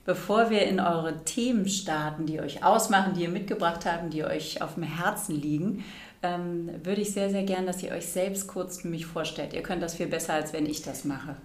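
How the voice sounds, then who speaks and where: A woman's voice: on the low side, moderate pace. A woman from Berlin speaking German as the host of an interview podcast, introducing and questioning her guests.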